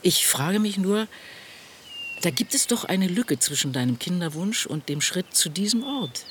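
A man playing a thoughtful, probing interviewer in a radio play, with deliberate and formal phrasing. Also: high voice, big pitch swings, a bit bright, exaggerated voice. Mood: curious, probing. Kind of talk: scripted radio drama interview. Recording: noisy outdoor ambience with birds.

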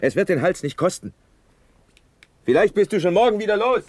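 An actor playing a firm, level-headed officer comrade in a classical radio drama, admonishing in a matter-of-fact way. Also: male voice, high voice, animated, fairly quick, darkish tone.

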